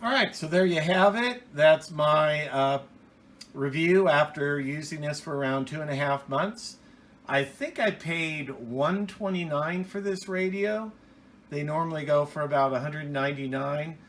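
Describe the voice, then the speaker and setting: Slow-talking man with a bright voice. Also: fairly high pitch. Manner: unscripted, halting with restarts and trailing phrases. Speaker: radio hobbyist reviewer. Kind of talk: casual hands-on product review.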